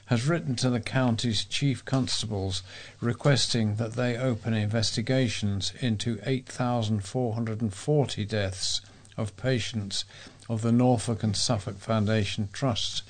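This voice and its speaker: Male volunteer newsreader, mid-pitched, for a local talking newspaper. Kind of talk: read-aloud local news.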